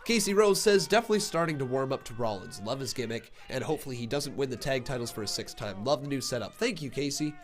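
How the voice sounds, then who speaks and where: A man's voice: mid-range voice, fast talker, few pauses. Congested man hosting a live reaction stream. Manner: chatty, thinks aloud, bursts into excited play-by-play. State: congested from a cold. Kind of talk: casual live reaction chat and commentary.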